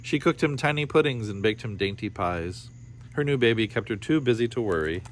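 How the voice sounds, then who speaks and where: A man reading a storybook aloud with expressive narration. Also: lowish voice, fairly quick, a little hiss or hum. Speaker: storybook reader.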